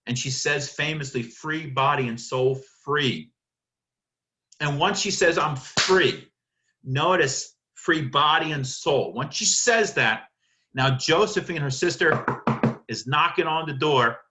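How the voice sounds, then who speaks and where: Male literature lecturer. Sharp, bright delivery, emphatic and theatrical, asks and answers his own questions. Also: fairly high pitch, slow. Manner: emphatic, dramatic, rhetorical questions answered by himself.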